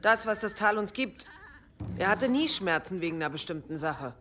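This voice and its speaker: A woman's voice: mid-range voice, lively intonation, moderate pace, sharp tone, slight background noise. An actress playing a stern but compassionate middle-aged village mother in a radio drama, speaking firmly and earthily. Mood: worried. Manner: firm, admonishing, earthy.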